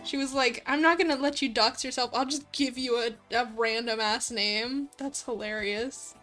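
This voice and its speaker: A woman with a high, bright voice chats casually to her livestream viewers. Her delivery is giggly, gushing and full of slang, with music playing softly behind her. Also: steady tone, normal speed. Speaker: livestreamer, host. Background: light background music.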